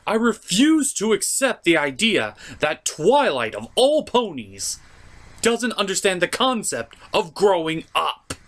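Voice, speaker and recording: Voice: medium pitch, sing-song, measured pace, a bit bright. A reviewer giving scripted comedic narration in a theatrical, sarcastic, mock-exasperated way, with some swearing. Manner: profane. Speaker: cartoon reviewer and host.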